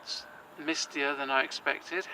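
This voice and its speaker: A man's voice: fairly high pitch, steady tone, measured pace, noisy background, lightly acted. An actor playing a nervous, earnest young man who stumbles over his words. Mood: worried. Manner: nervous, earnest, hesitant with fillers. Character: anxious, hopeful young man, possessed. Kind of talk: audio drama acting.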